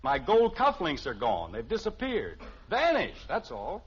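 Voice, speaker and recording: A muffled old radio recording with some hiss carries an exasperated middle-aged father. His voice sits high and swings wildly as he blusters indignantly in 1950s sitcom style. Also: wildly swooping pitch, measured pace. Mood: self-justifying. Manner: theatrical, indignant.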